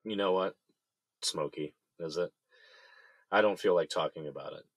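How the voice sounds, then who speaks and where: A man's voice: deep voice, moderate pace, no echo. An actor playing a guarded, bitter man in jail who feels betrayed, speaking tersely in an audio drama. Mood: defensive.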